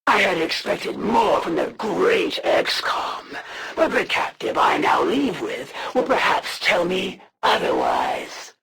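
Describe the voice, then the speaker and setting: Casting: proud alien warrior villainess for a video game; slow, measured, imperious delivery, gravelly edge, cold menace. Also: female voice, on the low side, huge pitch swings, fairly bright tone, a little hiss or hum, exaggerated voice, poor audio. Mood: cool disdain. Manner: formal, imperious, menacing, deliberate pauses.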